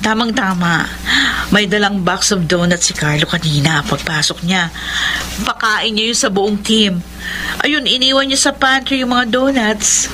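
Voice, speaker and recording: A woman's voice: medium pitch, a little slow, bright, forceful tone, a little hiss or hum. An actress playing a gossipy, teasing female coworker in a Filipino radio drama, chatty and exclamatory, with a Filipino accent. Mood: cheery.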